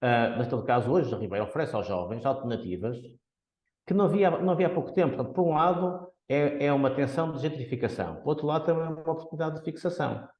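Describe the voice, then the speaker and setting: Man, Porto accent, economist guest, measured and explanatory, roomy echoey sound. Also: on the high side, highs cut off. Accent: Portuguese, Porto. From Porto, Portugal. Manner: measured, explanatory, frequent restarts. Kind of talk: podcast interview.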